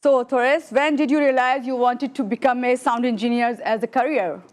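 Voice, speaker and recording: A woman's voice: fairly high pitch, steady tone, moderate pace. A woman hosting a morning show, asking interview questions with frequent hesitations and fillers.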